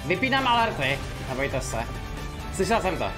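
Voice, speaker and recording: A man's voice: fairly high pitch, sing-song, a little fast, over music. A man casually commenting over a live event stream with offhand, joking asides, from the Czech Republic.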